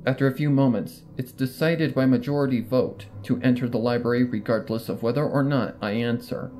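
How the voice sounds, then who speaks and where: A narrator reading fan fiction aloud in the first person, with expressive storytelling delivery. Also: medium pitch, fairly quick, a little hiss or hum.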